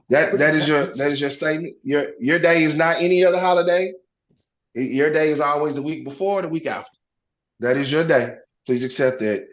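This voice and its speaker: A hoarse man hosting reaction commentary, talking in an animated, preachy way. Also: medium pitch, reverberant, poor audio. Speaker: commentary host.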